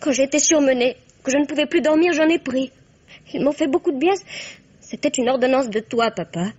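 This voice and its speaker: Actress as distraught daughter, twenty, very high voice, breathless, melodramatic radio-play delivery, muffled vintage sound. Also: female voice, brisk, darkish tone, close to an everyday voice. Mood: urgent, suspicious. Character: anguished, headstrong twenty-year-old daughter accusing her elders of poisoning. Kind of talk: 1950s French radio mystery acting. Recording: dull vintage radio drama recording.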